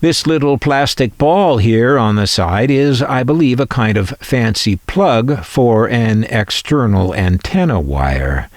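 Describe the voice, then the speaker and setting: A man narrating a scripted video as its host, in measured, deliberate phrases with dry asides. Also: lowish voice, dry recording. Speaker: host of a vintage electronics channel.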